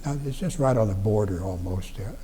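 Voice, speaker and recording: Elderly man, halting plain recollections. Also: lowish voice, lively intonation, mellow, big-room sound, slight background noise. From Ohio, USA. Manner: halting, plain-spoken, frequent restarts and fillers. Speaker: veteran interviewee. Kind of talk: oral history recollection. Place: library room.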